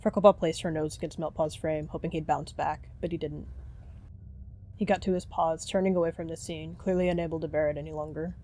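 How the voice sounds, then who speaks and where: Low-pitched woman narrating a story. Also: steady tone, brisk, dark tone. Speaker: narrator and roleplayer. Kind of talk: scripted story narration of a roleplay.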